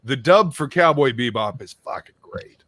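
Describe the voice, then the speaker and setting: A man's voice: medium pitch, lively intonation, normal speed, a bit bright, no echo. A man hosting a casual live stream chat, rambling between topics, swearing freely and greeting viewers in the chat. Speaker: stream host.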